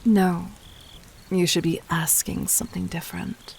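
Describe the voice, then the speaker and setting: A woman playing a regal, cunning, gently dominant demon queen in a roleplay audio drama, speaking formally and intimately with measured, breathy poise. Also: lowish voice, fairly even intonation, slight background noise. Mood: poised, knowing. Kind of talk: scripted roleplay audio drama addressed to the listener.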